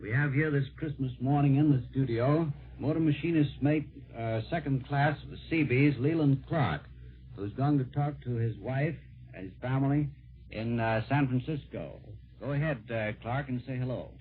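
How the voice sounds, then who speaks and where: A man working as a radio war correspondent, hosting a live 1940s holiday broadcast in an informal, halting way. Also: medium pitch, slight background noise. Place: radio studio at a naval base. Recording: tinny band-limited 1940s radio broadcast.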